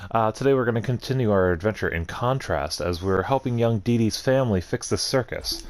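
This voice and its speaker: Low-voiced man muttering through a game. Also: brisk. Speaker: gaming channel host playing a game. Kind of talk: casual let's play commentary, thinking aloud.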